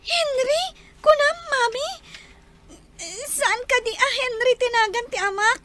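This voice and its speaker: A tiny, very high-pitched frightened child. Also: female voice, unhurried, fairly bright tone, a little hiss or hum. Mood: uneasy. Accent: Ilocano. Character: frightened, tearful young child. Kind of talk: Ilocano audio drama acting.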